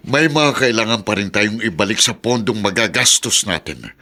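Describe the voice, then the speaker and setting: A man playing a domineering, corrupt mayor and suspicious husband in a Filipino radio drama, forceful and demanding. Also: on the low side, lively intonation, normal speed.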